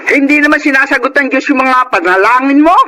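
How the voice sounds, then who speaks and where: A woman's voice: high voice, fairly quick, sharp tone. A Filipino woman playing a weary, bitter middle-aged mother who scolds and laments in a radio drama. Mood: weary scolding. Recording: faint background noise.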